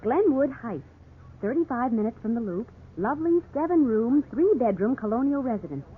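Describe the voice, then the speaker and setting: A woman's voice: a little high, big pitch swings, moderate pace, husky, full character voice. An actress playing an anxious but firm wife and mother, pleading and scolding in 1950s radio drama style. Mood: eager, coaxing. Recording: old noisy 1950s radio, narrow band.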